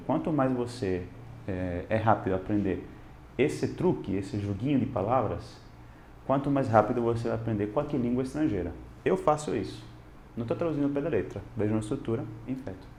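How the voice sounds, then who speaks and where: A man's voice: mid-range voice, echoey room, slight background noise. A man teaching English in a casual video lesson, speaking Portuguese as a second language and mixing in English examples. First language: non-Portuguese. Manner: animated and expressive, switching between Portuguese and English.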